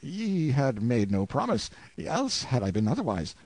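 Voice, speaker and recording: Male narrator as a steadfast peasant husband, solemn, a touch higher and mellow. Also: big pitch swings, a little slow, no echo. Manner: firm, solemn archaic speech. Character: tortured stoic peasant husband, proud and steadfast.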